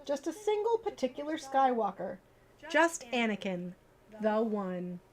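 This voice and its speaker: A woman's voice: a little high, sing-song, measured pace. A woman hosting a livestream, switching between informal chat with viewers and reading children's bedtime stories aloud. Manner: informal, chatty, addressing viewers directly. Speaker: livestream host.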